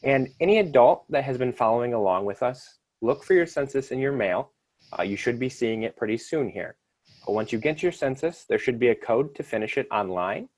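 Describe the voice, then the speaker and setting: A man's voice: mid-range voice, expressive, fairly quick, darkish tone, dry recording. A man leading a children's activity, giving instructions to kids and families in an upbeat, encouraging way.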